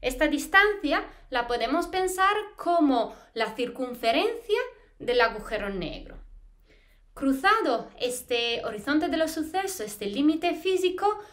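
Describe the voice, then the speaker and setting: A woman in her thirties from Italy with an Italian accent, a postdoctoral researcher explaining astronomy to school students in an expressive, lively way, recorded in a small plain room by a camera a few feet away. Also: sits high, a little slow. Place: small plain indoor room against a wall. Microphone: camera a few feet away, head to waist framing.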